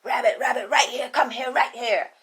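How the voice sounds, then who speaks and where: A woman's voice: a little high, a bit bright, breathy edge, voice pushed up, exaggerated voice. A woman playing a haughty storybook queen. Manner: haughty, drawn-out. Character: demanding, impatient storybook queen.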